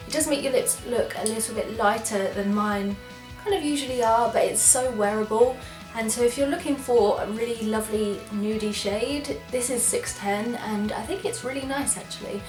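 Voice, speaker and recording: English girl w a stuffy cold voice, chatty and excited, roomy echo, bit of music playing faintly. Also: fairly high pitch, normal speed. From England. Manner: chatty, enthusiastic, apologetic asides. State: congested from a cold. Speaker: beauty vlogger. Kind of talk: casual product try-on chat.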